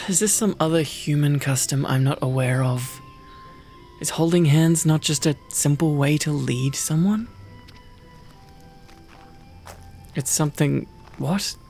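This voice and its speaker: A man playing a prickly, easily flustered wolfkin mercenary in an ASMR roleplay, gruff and sardonic, secretly protective. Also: on the high side, measured pace, a little mellow, faint music behind.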